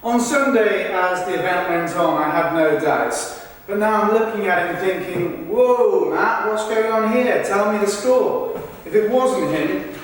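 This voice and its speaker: A man performing a rehearsed reading of verbatim texts in a formal, deliberate, projected delivery. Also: sits high. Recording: echoey hall, far from mic, faint noise.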